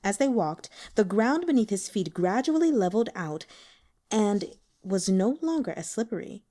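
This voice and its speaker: Mellow-voiced woman reading a novel. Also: medium pitch, big pitch swings, moderate pace. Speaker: audiobook reader. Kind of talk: scripted narration of a novel.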